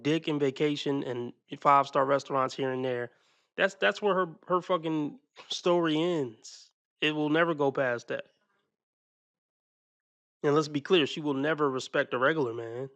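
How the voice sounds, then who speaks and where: A man's voice: medium pitch, fairly bright tone, dry recording. A man giving blunt, mocking reaction commentary on video clips.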